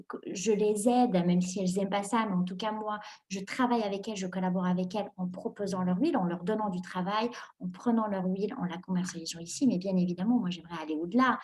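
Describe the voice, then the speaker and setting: A young woman from Morocco answers interview questions with lively energy. She laughs often and fills her sentences with hesitations and quick restarts. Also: mid-range voice. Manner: animated, laughs often, many fillers and restarts. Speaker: guest entrepreneur.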